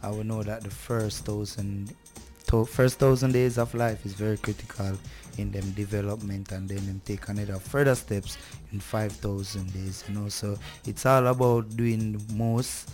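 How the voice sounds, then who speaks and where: A Jamaican-accented man with a fairly low voice answers in a conversational way as a guest. His intonation stays very flat, and music plays behind him. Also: normal speed. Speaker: guest, children's educator.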